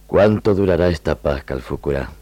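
An actor playing an honorable, humane army lieutenant with earnest, measured, formal phrasing in a radio drama. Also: male voice, very deep voice, warm tone, no echo. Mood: earnest, sober.

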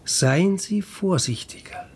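Husky, higher-voiced doctor. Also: male voice, expressive, slow, full character voice. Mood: probing, cautioning. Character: doctor, a frank, cautioning confidant. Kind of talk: radio drama acting.

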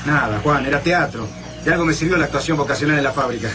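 Radio drama actor: excitable, wry Argentine male fugitive, extremely quick, off-mic under loud music. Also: fairly high pitch, recorded from a distance, close to an everyday voice. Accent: Argentine (Rioplatense).

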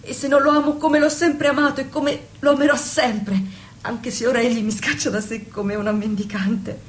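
A woman's voice: high voice, fairly even intonation, moderate pace, a little hiss or hum, lightly acted. A woman acting a devoted, innocent young wife in an intimate stage-style drama, earnest, submissive and self-blaming. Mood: pleading, devoted. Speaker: stage actress. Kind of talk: intimate theatrical radio drama.

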